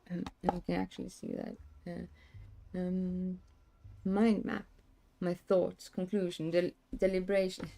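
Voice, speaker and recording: A young woman, hoarse today, chatting in a rambling, casual way with many fillers and restarts. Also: fairly low pitch, warm tone. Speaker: streamer. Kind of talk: casual chat.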